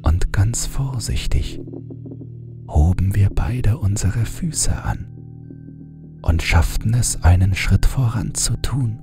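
Gravelly, hushed male horror narrator. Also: on the low side, animated, slow. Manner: hushed, whispering for tension. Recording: music bed underneath.

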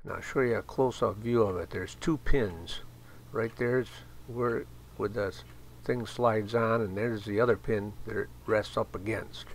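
A man's voice: lowish voice, measured pace, vocal fry. An older man from the States narrating an assembly how-to in a plain, hesitant way with many fillers. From United States. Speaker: video host showing machine assembly. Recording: voice recorded outdoors with steady background noise.

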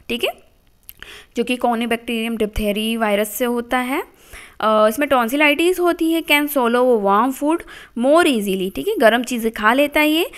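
High, smooth-voiced woman teaching. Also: fairly bright tone. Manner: slows to read English lines from the book, then explains them in Hindi; adds "theek hai" as a frequent filler. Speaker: homeopathy lecturer. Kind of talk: voice-over lecture over book pages. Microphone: voice-over.

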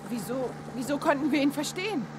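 An actress playing a capable, alert young heroine in a radio play. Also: female voice, sits high, animated, fairly quick, very noisy. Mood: concerned.

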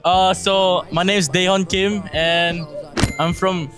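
A young man with a slightly high voice who speaks slowly, giving offhand, joking introductions. He is at a night-time outdoor patio, with clear music in the background. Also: steady tone. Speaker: friend. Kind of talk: casual jokey introductions.

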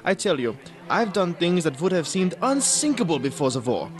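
Guy doing a polite slippery viennese baron, austrian accent, highish voice, radio play w faint music. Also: big pitch swings, normal speed. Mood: evasively polite. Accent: Austrian German. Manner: polite, hesitant, ingratiating. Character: genteel, evasive Viennese baron with oily courtesy. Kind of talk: radio drama acting.

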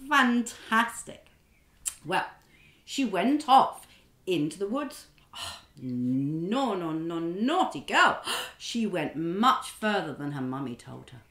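Middle-aged woman, children's drama storyteller, very lively intonation, expressive, roomy echo. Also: fairly low pitch, slow pace. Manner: animated, expressive, encouraging instruction.

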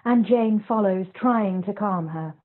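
A woman's voice: mid-range voice, a little slow. A woman narrating stage directions for a play reading in a scripted, descriptive style. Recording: tinny, phone-like video-call audio.

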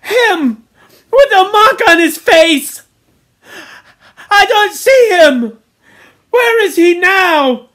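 An indignant young schoolboy, acted out by a woman reader, very high and loud, protesting in rough grammar. He whines and scolds with panic close to the surface, in a sharp, forceful tone made for a storybook. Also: expressive, extremely slow, pauses often, exaggerated voice. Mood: frantic distress. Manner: ungrammatical, shrill protesting tirade.

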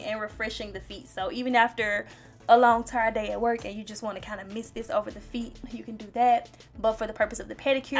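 A young woman narrating a beauty vlog, chatty and playful, talking straight to her viewers. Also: on the high side. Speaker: beauty vlogger. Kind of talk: casual voice-over narration. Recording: faint background music.

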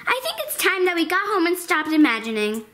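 A woman's voice: extremely high pitch, big pitch swings, a little fast, very noisy. A woman voicing a bubbly, girlish, imaginative hand puppet host in a children's show, with an exaggerated singsong delivery. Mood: excited.

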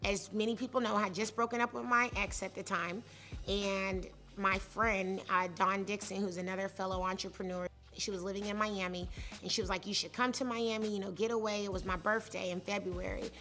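A woman in her thirties tells how she started her company, with a high-pitched voice. She talks candidly and conversationally, with plenty of fillers. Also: fairly even intonation, a little fast, sharp tone, faint music behind. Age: 30s. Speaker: startup founder. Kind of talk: personal story told in interview. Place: studio set with leafy wall.

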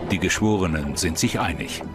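A man with a deep voice narrates a true-crime documentary from a script. Music plays clearly behind him. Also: normal speed.

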